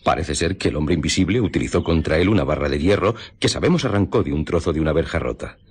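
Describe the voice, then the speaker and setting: An actor from a Spanish radio drama playing a grave police investigator who reports formally and methodically, with a Castilian accent. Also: male voice, extremely low pitch, expressive, quick pace, slight background noise. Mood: grave, measured. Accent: Spain. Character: grave male police investigator recounting a murder.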